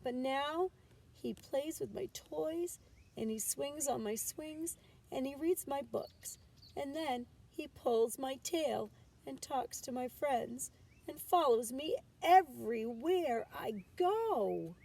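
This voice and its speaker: Storybook raccoon child, male, played by a woman: high, plaintive, jealous, needy, drawn-out pleading; distant, slightly noisy recording. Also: expressive, creaky, warm tone, exaggerated voice. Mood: whiny, complaining. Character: young raccoon boy, jealous, tearful, needy big brother. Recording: distant mic with background noise.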